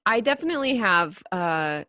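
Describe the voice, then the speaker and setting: A woman's voice: sing-song, moderate pace, sharp tone, no room sound, clean studio sound. A woman co-hosting a casual chat podcast, with a valley-girl way of talking. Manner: valley-girl way of talking, by her own account; swoops up into high put-on voices. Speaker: podcast co-host.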